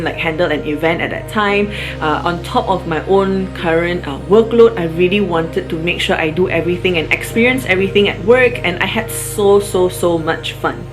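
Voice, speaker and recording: A young woman with a Malaysian accent, talking about herself in a casual, rambling vlog with lots of fillers. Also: fairly low pitch. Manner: chatty, rambling with fillers and restarts. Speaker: vlogger. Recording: music playing underneath.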